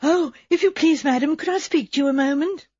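A woman's voice: high-pitched, a little fast, a little mellow, dry recording, highs cut off, pitched above the performer's own voice, close to an everyday voice. A woman voicing an anxious young housemaid who rambles and pleads breathlessly.

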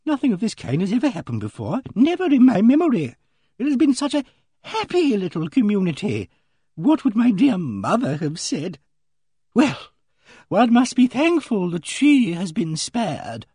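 A man plays a genteel old maiden lady from a storybook, pitched high and swooping in her agitation. She is pious and easily shocked, and she speaks in hesitant, fluttering murmurs, recorded dry and close. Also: wildly swooping pitch, moderate pace, mellow, breathy edge, no room sound, full character voice. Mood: agitated distress. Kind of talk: audiobook character dialogue.

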